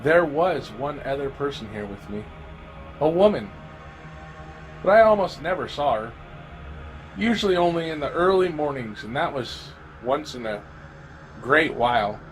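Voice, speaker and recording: A man playing a lonely, weary, haunted apocalypse survivor, telling his story in an amateur audio drama with halting, dramatic pauses. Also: on the high side, expressive, faint music behind, low-quality recording. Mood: lonely, worried. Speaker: amateur voice actor. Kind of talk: scripted first-person audio drama narration.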